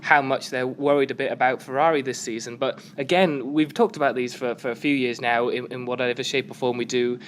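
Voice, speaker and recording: A man's voice: mid-range voice, steady tone, brisk, few pauses, a little hiss or hum. A man hosting a podcast, asking questions in casual chat with frequent fillers. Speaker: podcast host.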